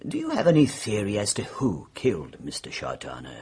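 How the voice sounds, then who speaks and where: A British police superintendent in a radio mystery. His voice is very husky, with hugely varied intonation. He probes shrewdly but sounds fatherly and understated. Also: on the low side, wildly swooping pitch, brisk, a little mellow, full character voice. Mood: questioning. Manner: fatherly, probing, understated, with hesitant lead-ins. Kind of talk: radio drama acting.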